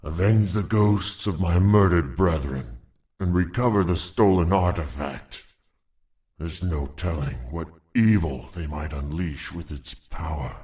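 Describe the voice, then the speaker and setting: Very deep, solemn dying orc shaman. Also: a little mellow. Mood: grave, urgent. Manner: grave, ceremonious, measured. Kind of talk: video game voice acting.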